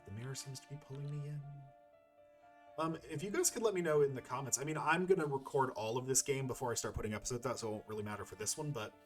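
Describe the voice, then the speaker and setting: A man's voice: medium pitch, fast, faint music behind. A man giving casual gameplay commentary as a playthrough host, rambling and thinking aloud as he goes. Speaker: video game playthrough host.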